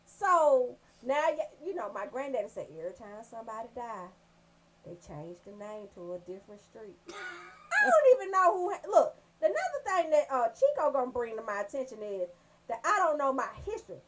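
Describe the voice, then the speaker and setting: A woman with a Southern Atlanta accent hosting comedic radio banter, telling stories in an animated, emphatic way. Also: high-pitched, moderate pace. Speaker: radio show host.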